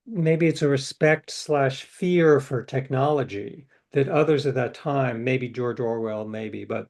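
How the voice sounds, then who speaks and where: A man's voice: medium pitch, a little slow, a little mellow. An older man chats as an interview guest, telling long rambling stories. He corrects himself as he goes and acts out the lines of people in his anecdotes. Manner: rambling, self-correcting, acts out quoted dialogue. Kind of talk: casual storytelling.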